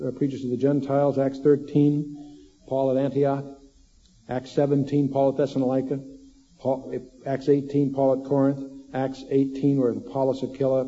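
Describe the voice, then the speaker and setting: A man giving an evening Bible lecture, asking his own questions aloud and then answering them, slowing down to list references. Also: medium pitch, warm tone. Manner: lists references at a slow measured pace. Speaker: Bible teacher. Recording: dull long-reverb hall recording.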